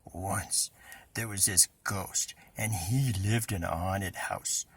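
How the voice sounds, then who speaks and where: A man voicing a young storybook boy who speaks in a hushed, hesitant, drawn-out way, spooky and secretive. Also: sits low, slight background noise, pitched below the performer's own voice, full character voice. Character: Reggie, young boy telling spooky bedtime stories, evasive.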